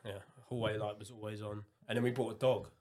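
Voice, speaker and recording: A man from Kent, England, chatting casually as a podcast guest, animated and full of fillers. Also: on the low side. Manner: animated, quick bursts of excitement, many fillers.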